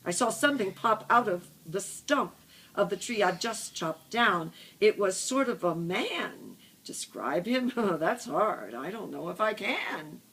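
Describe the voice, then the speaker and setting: A woman's voice: medium pitch, big pitch swings, unhurried, a bit bright, slightly rough, rough recording. An older woman, a grandmother, reading a picture book aloud expressively to a small child and patiently chatting in asides.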